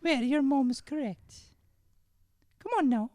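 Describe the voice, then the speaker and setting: High-voiced, coy Irish girl, played by a woman. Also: takes it slowly, dark tone, slight background noise. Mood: coy, confident. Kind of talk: improvised old-time radio comedy play.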